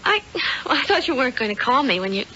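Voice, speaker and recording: High-voiced, eager young niece. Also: female voice, sing-song, quick pace, bright, forceful tone, full character voice. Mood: delighted. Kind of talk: 1970s radio drama acting. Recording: dull, noisy old radio recording.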